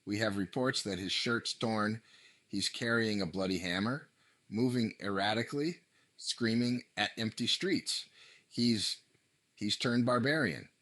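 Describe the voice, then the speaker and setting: A man's voice: on the low side, unhurried, fairly bright tone, no room sound. A man playing a male television news reporter delivering a scripted breaking news report. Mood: urgent. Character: male TV news reporter covering a violent manhunt.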